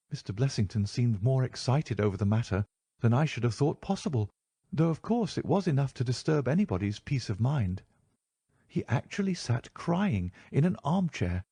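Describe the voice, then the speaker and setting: A man voicing an earnest male doctor recounting events in a measured way. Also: medium pitch, mellow, breathy edge, no room sound, pitched above the performer's own voice.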